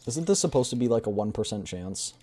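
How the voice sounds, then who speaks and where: A man's voice: fairly low pitch, lively intonation, brisk, dark tone. A man livestreaming a game, chatting casually with his audience. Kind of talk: casual game stream chat.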